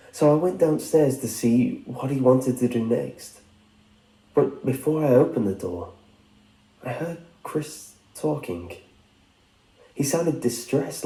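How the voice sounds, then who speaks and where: A man reading a scripted first-person story as a narrator, with a dark, muted tone. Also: mid-range voice. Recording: roomy sound with music behind. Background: background music.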